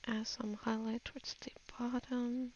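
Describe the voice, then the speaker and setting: A woman's voice: a little high, little pitch movement, a little slow, a little hiss or hum. A woman giving a casual voice-over tutorial on her art, thinking aloud in a musing, hesitant way as if half talking to herself. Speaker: digital artist giving a tutorial.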